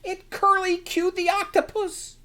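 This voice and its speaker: A man's voice: extremely high pitch, little pitch movement, unhurried, sharp tone. A man reading a children's picture book aloud in a playful, exclamatory manner with dramatic emphasis. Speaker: storytime reader.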